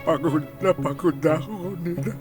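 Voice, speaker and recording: A man's voice: fairly high pitch, moderate pace, over loud music, natural voice. A man playing a weary, despairing older Filipino grandfather in a radio drama, pleading melodramatically. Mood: anguished, despairing. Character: weary, despairing grandfather begging to end his suffering.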